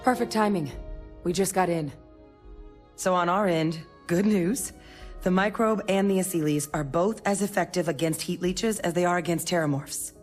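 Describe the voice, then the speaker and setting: A woman's voice: on the low side, moderate pace, darkish tone, faint music behind, lightly acted. An actress playing a resolute, businesslike female scientist in a video game, speaking in a direct, matter-of-fact way. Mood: brisk, focused. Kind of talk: video game character dialogue.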